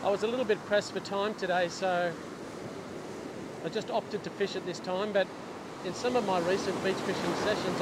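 Aussie guy chatting on a beach about fishing, fairly high voice, surf roaring behind. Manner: chatty, explains while working, restarts sentences. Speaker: fishing channel host. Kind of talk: casual instructional vlog. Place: open ocean beach outdoors, surf. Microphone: clip-on lapel mic. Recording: loud surf and wind noise. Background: crashing waves and wind.

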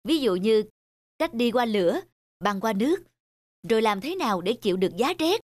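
High-voiced, self-important father turtle played by a woman. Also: very animated, normal speed, a little mellow, dry recording. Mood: proud. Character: proud, studious father turtle hoarding wisdom. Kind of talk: animated fairy-tale dubbing.

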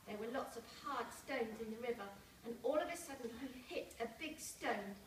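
A young woman reads a picture book aloud to young children in simple, deliberate phrases, with asides to her listeners, recorded from a distance in a school hall. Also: a little high, lively intonation. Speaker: storyteller reading to young schoolchildren. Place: large indoor primary school hall. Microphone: camera several metres away. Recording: echoey school hall, distant camera, noisy room.

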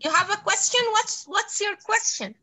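A woman's voice: very high-pitched, animated, normal speed, sharp tone. A young adult woman teaching an online English class to children over a video call, encouraging and full of praise. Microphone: computer mic on video call.

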